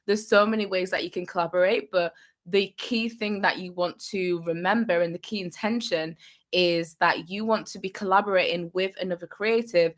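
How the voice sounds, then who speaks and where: A young adult woman with a slightly low voice, coaching her live viewers in an upbeat, encouraging way. She talks straight to the chat and repeats her key points for emphasis, like a business coach on a casual stream. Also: fairly even intonation, normal speed. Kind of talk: live-streamed advice vlog.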